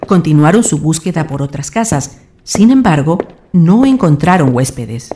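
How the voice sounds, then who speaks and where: A woman's voice: sits low, very animated, moderate pace, music in the background. A woman narrating a scripted radio drama as storyteller.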